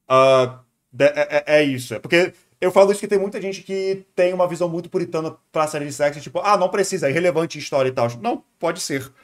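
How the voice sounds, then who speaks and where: A man's voice: fairly high pitch, fast talker. A Brazilian man giving casual, opinionated live reaction commentary as a streamer, thinking aloud conversationally.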